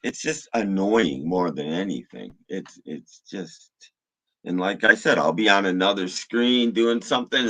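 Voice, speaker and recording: A man with a rough, slightly hoarse voice rambling casually on a livestream in a halting, low-key, mildly grumbling way. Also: pushed and bright, rough recording. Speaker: live streamer.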